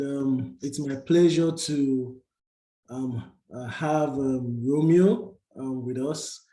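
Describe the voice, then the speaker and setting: A man's voice: mid-range voice, dark tone, echoey room, low-quality recording. A man hosting an online session, speaking hesitantly with many fillers. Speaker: webinar host. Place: Calgary.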